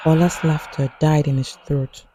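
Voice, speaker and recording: A man narrating a scripted horror folktale as a storyteller, in a grave, suspenseful manner with a husky voice. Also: a little high, level tone, mellow, a little hiss or hum. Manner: slow, grave, suspenseful delivery.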